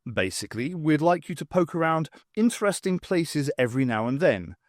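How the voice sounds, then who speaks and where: Man as earnest, formal envoy, animated. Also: fairly high pitch, a little fast, no echo, studio quality, lightly acted. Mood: businesslike.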